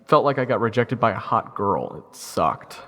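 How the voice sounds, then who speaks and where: A man's voice: fairly low pitch, big pitch swings, normal speed, sharp tone, big-room sound. A young man narrating his own story in first person, wry and self-deprecating. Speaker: narrator and lead.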